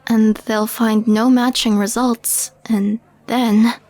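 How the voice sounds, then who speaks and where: Lady voicing wry doubtful alien girl in her adult years, slowish delivery, slightly high voice, audio roleplay. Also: level tone, darkish tone. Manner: hesitant, wry, questioning. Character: skeptical, wry alien young woman, reluctant accomplice. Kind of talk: intimate audio roleplay acting.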